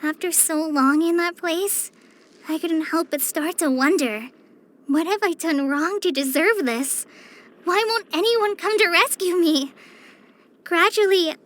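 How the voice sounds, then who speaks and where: Game casting: earnest, self-conscious teen girl ranger, very high bright voice, halting and apologetic delivery. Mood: somber, bitter. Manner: hesitant, stammering, self-conscious. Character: shy, apologetic, earnest teenage girl ranger with a troubled past. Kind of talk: video game character voice-over.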